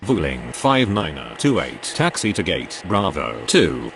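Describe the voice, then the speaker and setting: A man's voice: deep voice, sing-song, unhurried, creaky, fairly bright tone, a little hiss or hum, exaggerated voice. A man voicing an aviation radio figure in a video game, delivering scripted, clipped procedural calls. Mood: businesslike. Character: adult male airline pilot and air traffic radio voice.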